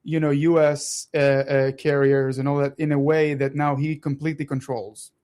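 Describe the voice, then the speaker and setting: A man in his thirties with a soft Israeli-Italian accent, a native Hebrew speaker, talking casually and animatedly as a radio co-host. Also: a little high. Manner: animated, talks fast with many restarts and laughs. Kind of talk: casual political chat.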